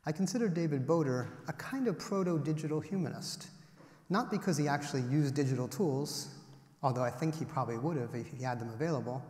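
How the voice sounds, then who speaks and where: A man in his forties giving an academic lecture from a podium, measured and explanatory, stressing each item as he lists them. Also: a little high, darkish tone. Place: large auditorium, at a podium. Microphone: podium microphone. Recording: roomy hall with long reverb.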